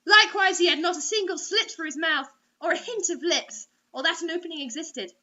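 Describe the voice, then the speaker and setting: A woman with a bright, very high voice hosts a story reading. She delivers it theatrically and drops in sarcastic, mocking comments. The recording is dull and lo-fi. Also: fairly quick. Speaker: host reading bad online fiction aloud.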